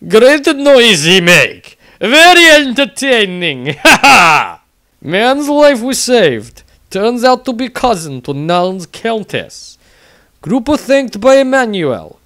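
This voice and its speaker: A boisterous burly warrior recounts his adventures with a thick Russian-style accent. His English is broken and blunt, delivered slowly, with a high, swinging pitch for a male voice. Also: big pitch swings, sharp tone, dry recording, studio quality, exaggerated voice. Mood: gleeful, scornful. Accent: Slavic, Russian-like. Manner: broken English, blunt exclaiming, hearty laughs. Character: boisterous burly Kislevite warrior, blunt, gleeful, diary-writing. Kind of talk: in-character fantasy audiobook diary.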